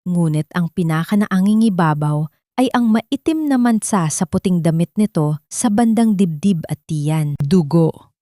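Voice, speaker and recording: A woman's voice: on the low side, lively intonation, a little slow, warm tone, no echo. Woman narrating a drama, very dry sound. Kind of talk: dramatic scripted audio story narration.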